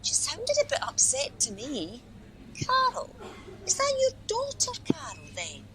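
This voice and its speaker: A woman's voice: high-pitched, wildly swooping pitch, measured pace, a bit bright, a little hiss or hum, exaggerated voice, rough recording. A woman playing a polite, hesitant and rather nosy helpline volunteer in a radio play, with an apologetic manner. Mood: hesitant, probing. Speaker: helpline phone operator.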